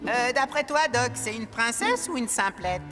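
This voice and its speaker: Lady doing a bold cheeky little cartoon hero, high and super lively. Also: moderate pace, long reverb tail, music in the background, natural voice. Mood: eager. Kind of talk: French cartoon dubbing.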